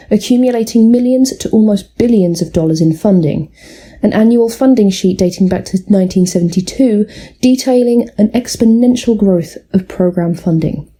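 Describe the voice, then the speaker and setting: A woman playing a grave, formal news anchor reading a scripted broadcast in a measured way. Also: mid-range voice, mellow, breathy edge, natural voice.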